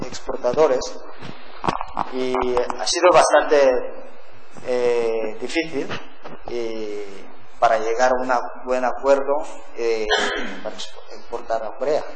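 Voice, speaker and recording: A man giving an unscripted talk at a business event, searching for words in a language not his own. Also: medium pitch. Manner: halting, searching for words in non-native speech. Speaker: guest speaker, trade intermediary. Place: event room with audience. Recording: echoey reverberant hall, faint noise.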